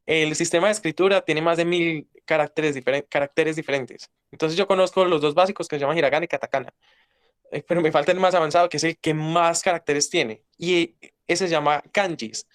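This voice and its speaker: Young Paisa man, high voice, blunt and preachy interview guest. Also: clean tone. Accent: Paisa Colombian. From Colombia. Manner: emphatic, lecturing, blunt. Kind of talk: casual interview talk.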